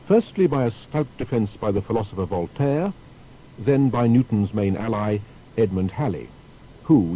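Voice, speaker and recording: A man's voice: lowish voice, low-quality recording. A man narrating a scripted historical biography in a formal, storytelling manner. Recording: steady faint background noise.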